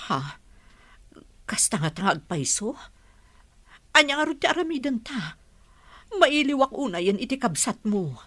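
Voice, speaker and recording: A woman's voice: fairly high pitch, sing-song, unhurried, pauses often, fairly bright tone, no echo, full character voice. A woman playing a worried, questioning village mother in a radio drama. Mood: anxious. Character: worried village mother longing to see her child. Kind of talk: Ilocano radio drama acting.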